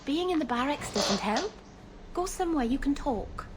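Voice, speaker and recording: An actress playing a bubbly, excitable and teasing young woman in a fantasy video game, with noise behind her. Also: high-pitched, moderate pace. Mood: helpful. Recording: clear background noise.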